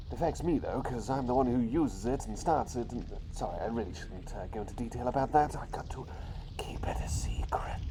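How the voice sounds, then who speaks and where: A man voicing an eccentric, playful doctor turned talking bird, in soft-spoken ASMR roleplay with whispers and whimsical, unhurried phrasing. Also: medium pitch, close to an everyday voice. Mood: chatty, musing. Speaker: riddle-teller. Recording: clear background noise with outdoor ambience.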